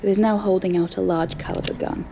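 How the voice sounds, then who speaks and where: A woman's voice: mid-range voice, steady tone, fast talker, vocal fry, lo-fi sound, background noise. A woman reading scripted present-tense story narration as the narrator of an audio drama.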